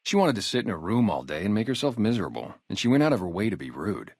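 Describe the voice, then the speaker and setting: A man's voice: fairly low pitch, big pitch swings, fast talker, darkish tone, slightly rough, no room sound, studio quality, exaggerated voice. A man acting a video game character, a guarded, loyal ex-gang sniper on town security, talking in a casual, streetwise way. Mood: bitter, reflective. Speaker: security guard and former soldier.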